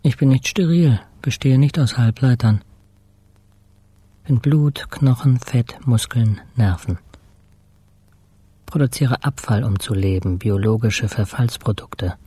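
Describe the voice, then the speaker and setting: An actor playing a weakened, introspective hospital patient in a radio play, speaking in measured, terse fragments. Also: male voice, medium pitch, warm tone, slightly rough, no echo. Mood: brooding, raw. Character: weakened male hospital patient, introspective, haunted, recounting his ordeal. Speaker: actor narrating a radio play in first person. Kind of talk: literary radio drama monologue.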